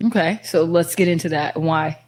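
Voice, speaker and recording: A woman's voice: low voice, fairly even intonation, moderate pace, a little mellow. A woman hosting a casual interview who questions her guest and pushes back. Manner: pushes back, laughing disbelief. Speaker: podcast host.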